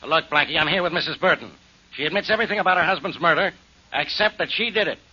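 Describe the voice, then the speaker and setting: Un inspecteur de police insistant. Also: male voice, fairly high pitch, fast talker, sharp tone, breathy edge, full character voice. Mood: accusing. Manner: insistent, accusing. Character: pushy male police inspector. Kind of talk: 1940s radio acting. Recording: dull old radio broadcast.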